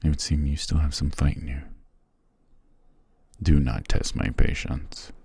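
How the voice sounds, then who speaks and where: A man playing an ancient, imperious and possessive vampire patriarch in a fantasy ASMR roleplay, speaking in a formal, commanding, measured way. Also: fairly even intonation, mellow, exaggerated voice. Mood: stern, possessive. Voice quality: very deep, gravelly, breathy.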